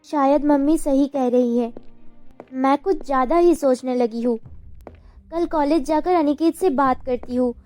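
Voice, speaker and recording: A woman playing an emotional, anxious young college girl in an animated love story, speaking in an expressive, girlish way. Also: high voice, unhurried, faint music behind. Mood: brooding. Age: young adult. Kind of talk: dramatized Hindi love story acting.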